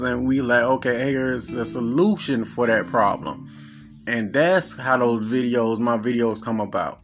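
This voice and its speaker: A man's voice: medium pitch, a bit bright. Blunt, profane Southern man. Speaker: podcast host. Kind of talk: casual monologue.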